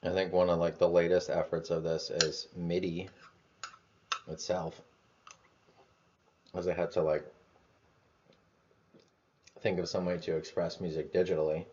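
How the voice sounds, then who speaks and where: A man with a very low voice muses out loud in a relaxed, rambling flow, packing his talk with fillers and a casual curse now and then. The sound is dull and lo-fi. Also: a little slow, pauses often. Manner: loose, filler-heavy, swears casually. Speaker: vlogger.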